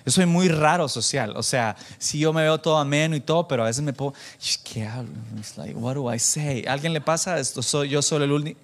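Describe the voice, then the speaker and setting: Animated, conversational male preacher, fairly high voice. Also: fairly quick, few pauses. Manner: animated, self-deprecating, bantering with listeners; mixes in English phrases. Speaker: preacher addressing a church congregation. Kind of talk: informal conversational sermon with anecdotes.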